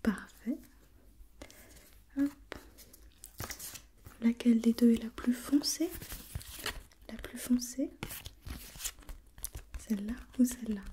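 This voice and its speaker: A woman whispering breathily in an ASMR role play, gently putting questions step by step as an examiner and softly repeating herself. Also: fairly high pitch, takes it slowly, pauses often, slight background noise. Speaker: examiner in a role-played visual test.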